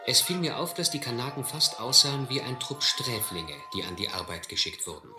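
An actor playing a shrewd, suspicious South Seas sea captain in a German adventure radio drama, speaking in a measured, dry way. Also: male voice, medium pitch, very animated, roomy sound, over music, lightly acted. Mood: reflective narrating. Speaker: actor playing captain and first-person narrator.

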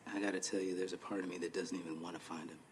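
Man acting weary low-voiced soldier. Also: level tone, racing, a little hiss or hum, lightly acted. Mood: grave, reluctant. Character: weary, troubled male soldier comrade. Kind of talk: naturalistic film acting.

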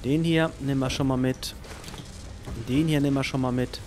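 A man's voice: medium pitch, fairly even intonation, moderate pace, darkish tone, slight background noise. A man giving casual let's play commentary, thinking aloud and chatting to himself and his viewers.

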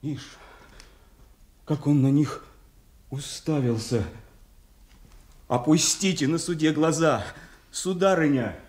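An actor playing a haunted, raving mad beggar in a Soviet radio drama, chanting in fragments. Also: male voice, fairly high pitch, slow pace, long pauses, a little mellow. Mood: crazed. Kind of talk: classical Soviet stage acting for radio. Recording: echoey Soviet radio theatre recording.

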